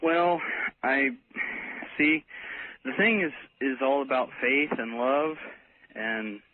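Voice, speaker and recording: A man calling a radio show, speaking in a halting, apologetic way. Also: medium pitch. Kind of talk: casual phone-in conversation. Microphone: telephone. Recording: tinny noisy phone line.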